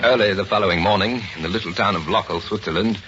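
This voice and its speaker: Old radio narrator guy, deep-ish and dramatic, very formal, music behind, muffled sound. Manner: formal, deliberate, portentous.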